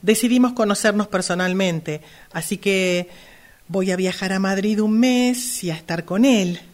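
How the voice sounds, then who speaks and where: A woman's voice: medium pitch, measured pace, natural voice. An Argentine woman playing a hesitant sister in love, a longtime caregiver, in an amateur radio drama. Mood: friendly, hesitant.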